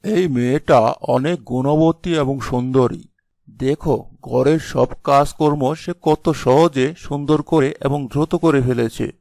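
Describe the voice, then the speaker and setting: A man playing a shrewd middle-aged village headman in a folk tale, deliberate and authoritative. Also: mid-range voice, steady tone, vocal fry, a little mellow, no room sound, studio quality. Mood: approving. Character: shrewd village headman, father of a grown son.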